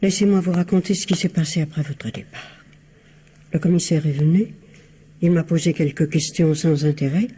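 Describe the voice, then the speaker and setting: A woman's voice: low-pitched, fairly even intonation, moderate pace, mellow, husky. An actress playing a scheming, falsely kind middle-aged lady in a vintage radio mystery, poised and bossy with an insinuating, motherly manner. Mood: feigned sympathy.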